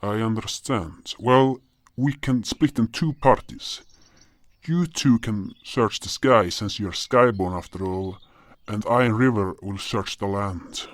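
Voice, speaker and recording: A man plays a small, hairy guardian creature from a fantasy audio drama, gruff and quick to flare up. He blusters and exclaims in a slow, low male voice with a dry close sound. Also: dry recording. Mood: earnest.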